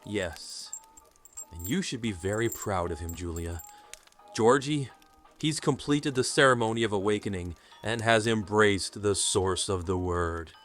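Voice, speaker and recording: An actor playing a defiant, righteous captured rebel in an audio drama, with an indignant, dramatic manner. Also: male voice, on the low side, a little slow, a bit bright, faint music behind. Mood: goading.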